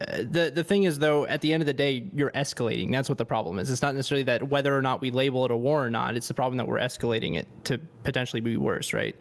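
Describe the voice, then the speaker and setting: A man's voice: medium pitch, quick pace, vocal fry, few pauses. A man earnestly reasoning through a political point in an online debate, with many fillers. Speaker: debate guest.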